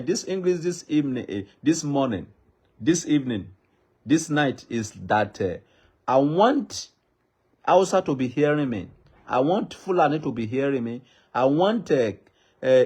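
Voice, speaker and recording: A man's voice: fairly high pitch, darkish tone, breathy edge. A Nigerian man with a Yoruba accent, a native Yoruba speaker, makes an impassioned public appeal in a pleading, repetitive cadence like a preacher. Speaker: traditional ruler addressing the public.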